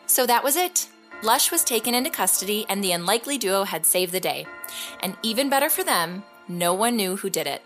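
Woman playing an earnest podcast host, mid-pitched, measured. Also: expressive, a bit bright, soft background music, close to an everyday voice. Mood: reflective. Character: earnest female investigative podcast host recounting a hero's cases. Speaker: host, narrator. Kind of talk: scripted documentary podcast narration.

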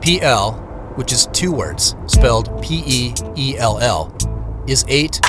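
An actor playing a reflective male traveler, giving measured, descriptive first-person narration in a scripted drama. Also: mid-range voice, animated, over music. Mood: reflective, observant. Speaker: narrator and protagonist.

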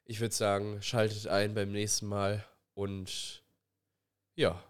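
A man's voice: fairly low pitch, little pitch movement, slow, clear, resonant tone. Casual young man with a cold. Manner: rambling, filler-heavy, colloquial. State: has a cold. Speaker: podcast co-host. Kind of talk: casual chat over a voice call. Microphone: recording mic, directly connected.

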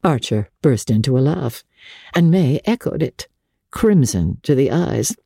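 A woman narrates literary fiction with dramatic, measured expression. Her voice is dark and husky and moves widely in pitch. She delivers it as polished scripted storytelling. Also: expressive, no room sound, clean studio sound. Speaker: audiobook narrator.

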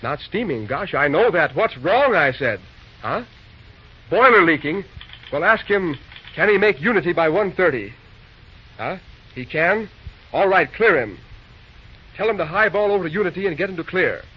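A man playing a brisk, busy railroad dispatcher in an old-time radio drama, talking in clipped, folksy railroad slang. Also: high voice, animated, husky. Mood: impatient, commanding. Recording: muffled, noisy old radio recording.

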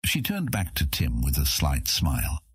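A man's voice: a bass voice, huge pitch swings, normal speed, husky, dry recording, studio quality. A man narrating scripted fantasy fiction as an audiobook narrator.

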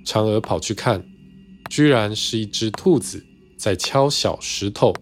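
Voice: mid-range voice, lively intonation, a little slow, soft background music. A storyteller narrating a scripted children's tale in simple storybook phrasing.

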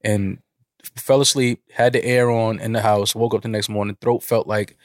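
A man's voice: lowish voice, normal speed, no echo, clean studio sound. Nasal, congested man chatting on a podcast. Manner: animated storyteller who laughs often and swears casually. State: a little nasal from a cold. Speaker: podcast co-host. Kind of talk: casual chat.

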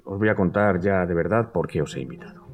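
Audio drama: male actor as an agitated man with a Castilian accent, nervously rambling, very lively pitch, music underneath. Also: fast. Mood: hesitant, confiding. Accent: Castilian Spanish. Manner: rambling, nervous, repeating himself. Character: agitated man convinced his house hides his favourite food. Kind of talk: Spanish audio drama acting.

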